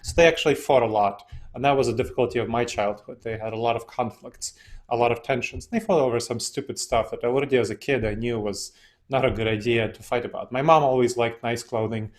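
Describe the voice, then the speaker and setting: Guest: easygoing man, non-native English, mid-pitched, chatty storyteller. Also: fairly quick. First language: not English. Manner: relaxed, laughs often while telling stories. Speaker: interview guest, decision-making expert. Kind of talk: casual interview storytelling.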